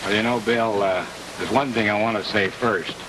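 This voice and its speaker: An American man in his forties answering a television interviewer as a company executive, in measured, deliberate phrases. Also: on the low side, fairly even intonation. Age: 40s. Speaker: company president being interviewed. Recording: noisy old TV soundtrack, distant mic.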